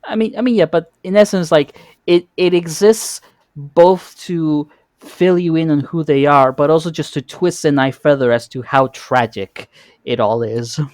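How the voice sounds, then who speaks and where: A man's voice: fairly high pitch, unhurried, a little mellow, no room sound, clean studio sound. A man hosting a casual interview chat, often repeating the starts of his words as he thinks. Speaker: podcast host.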